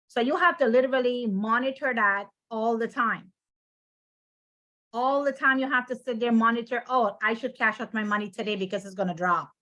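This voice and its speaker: Emphatic middle-aged Guyanese woman, higher-pitched. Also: fairly bright tone. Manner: emphatic, repeats key phrases. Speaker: financial presenter. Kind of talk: informal sales presentation to an audience.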